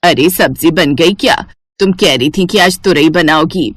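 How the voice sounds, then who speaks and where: Woman voicing a stern, low-pitched old mother-in-law. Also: a little slow, slight background noise. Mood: disapproving. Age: older. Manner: scolding, complaining. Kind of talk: Hindi moral-story cartoon voice acting.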